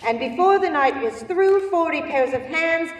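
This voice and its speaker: A woman's voice: very high-pitched, animated, moderate pace, slight background noise. A woman narrating a story as staged reader's theater. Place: university performance hall. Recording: echoey hall, distant mic, clicks.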